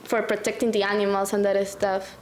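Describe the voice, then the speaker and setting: Woman from Ecuador, halting, echoey. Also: medium pitch, flat delivery. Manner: halting, restarts words, laughs easily. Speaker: guest. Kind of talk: casual podcast chat.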